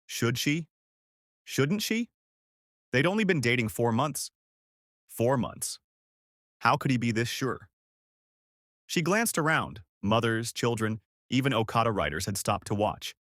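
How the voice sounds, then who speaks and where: Male story narrator, medium pitch, very lively intonation, dramatic and suspenseful, emphatic phrasing. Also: measured pace, long pauses, no echo, clean studio sound.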